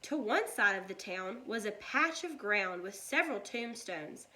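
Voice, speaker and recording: Lady reading a kids book out loud, slow and expressive, bright teachery voice. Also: medium pitch, poor audio. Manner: deliberate, animated storytelling for children. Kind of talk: expressive children's story read-aloud.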